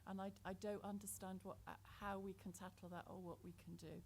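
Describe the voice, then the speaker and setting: A woman on a panel talks candidly about apprentices, with a thoughtful, slightly hesitant delivery. Her voice has a medium pitch over faint room noise. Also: level tone, fairly quick, vocal fry, a little mellow. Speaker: employer panelist. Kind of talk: panel discussion.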